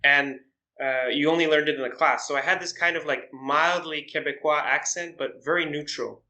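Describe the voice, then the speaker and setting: A young male podcast guest with a slightly high, bright voice. He tells his stories in a relaxed way, pausing often and restarting his sentences. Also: low-quality recording. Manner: relaxed storytelling, hesitant with "uh" and restarts. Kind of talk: casual chat.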